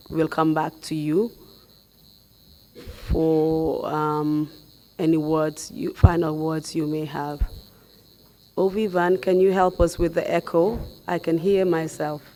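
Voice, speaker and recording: A young woman with a hoarse voice, questioning a witness formally and politely as commission counsel through a headset mic in a studio. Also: deep voice, slight background noise. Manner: measured, polite, flat delivery.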